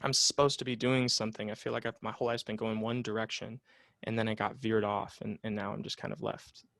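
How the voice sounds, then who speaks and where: A man in his late twenties talks things through in a relaxed interview, circling back with fillers and restarts. His voice carries a gravelly fry. The sound is dry and close, with no room around it. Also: lowish voice, fast talker, no room sound. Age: 20s. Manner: reflective, many fillers and restarts. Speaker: psychotherapist guest. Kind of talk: casual conversational interview.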